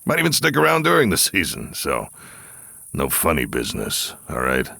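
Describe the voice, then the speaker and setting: An actor playing a gruff middle-aged male sports coach in an audio drama, gravelly, stern but teasing. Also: extremely low pitch, huge pitch swings, moderate pace, sharp tone, no echo, clean studio sound, exaggerated voice. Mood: stern, warning.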